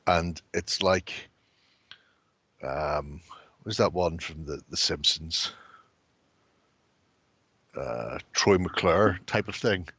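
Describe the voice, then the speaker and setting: A man's voice: sits low, extremely slow, long pauses, fairly bright tone, slightly rough, no echo. A middle-aged man co-hosting a casual hobby chat, dry and sardonic, on a headset at home. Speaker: co-host. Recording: dull lo-fi stream audio.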